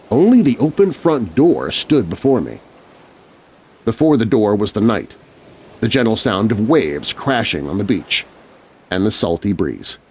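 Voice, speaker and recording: A man narrating a scary children's story in an expressive, suspenseful, scripted style. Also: on the low side. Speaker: narrator, voice artist.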